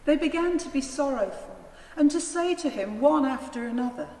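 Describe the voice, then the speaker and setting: A woman's voice: sits high, animated, moderate pace, warm tone. A woman narrating a dramatised Gospel in a formal, declamatory reading style. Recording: echoey church hall, faint noise.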